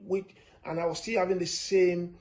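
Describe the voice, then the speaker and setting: A man from Nigeria giving a steady, repetitive finance lesson, stressing his points with frequent "uh" fillers. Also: sits high, normal speed, a little hiss or hum. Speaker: personal finance presenter. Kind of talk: instructional talk to camera.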